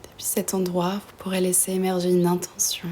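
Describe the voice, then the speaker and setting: A woman guiding a yoga nidra meditation in a soft, breathy, half-whispered voice, soothing and gentle, with long pauses between phrases. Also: on the low side, completely flat delivery, slight background noise.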